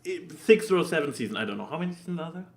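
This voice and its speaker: A man chatting casually as a podcast co-host, giving an exasperated, animated review and acting out quotes as he goes. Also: fairly high pitch, racing, vocal fry.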